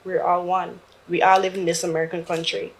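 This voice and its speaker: A woman's voice: on the low side, flat delivery, brisk, rough recording. A Guyanese woman chatting casually as a guest, informal and slangy. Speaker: talk show guest. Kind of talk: casual chat.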